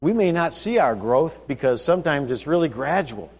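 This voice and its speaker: A man's voice: on the high side, expressive, moderate pace, darkish tone, long reverb tail. A man preaching a sermon in a conversational way, asking his listeners questions.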